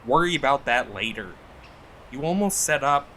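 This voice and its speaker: A man's voice: mid-range voice, moderate pace, a little hiss or hum, lightly acted. A man playing a cocky, wisecracking would-be podcast storyteller in naturalistic audio drama dialogue, with a flippant manner. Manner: flippant, deadpan joking.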